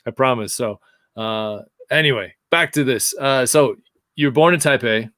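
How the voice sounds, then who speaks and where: A man's voice: medium pitch, normal speed, fairly bright tone, dry recording. Rambling self-deprecating male podcast host, dry sound. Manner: self-deprecating, rambling, stumbling over words. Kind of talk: casual interview chat.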